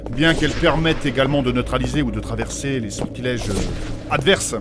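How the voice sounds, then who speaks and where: A man's voice: mid-range voice, a little fast, natural voice. A man playing a learned, courteous mage in a fantasy audio comedy, speaking formally and precisely as he explains things in an earnest, pedantic way. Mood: earnest, scholarly. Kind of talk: French humorous fantasy audio fiction acting. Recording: music behind the voice.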